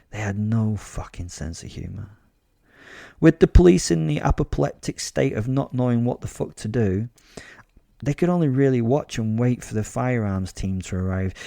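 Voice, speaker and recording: Male werewolf killer played by a man narrating horror drama: dark mellow tone, gravelly fry, theatrical, crude and gleefully sardonic, dry close sound. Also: medium pitch, dry recording, close to an everyday voice. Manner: sardonic, relishing, theatrical, sweary. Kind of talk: first-person dramatic horror storytelling.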